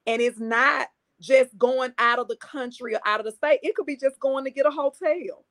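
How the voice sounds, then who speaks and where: A woman's voice: high voice, normal speed, a bit bright. A middle-aged woman, a coach and speaker, talking earnestly in a podcast interview and repeating her points for emphasis. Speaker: guest, empowerment coach.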